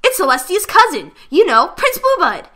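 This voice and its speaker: An excitable, swooning mare voiced by a woman in a pony storybook. She shrieks in a very high, forceful, bright voice. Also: normal speed, exaggerated voice. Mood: excited. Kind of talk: storybook character reading.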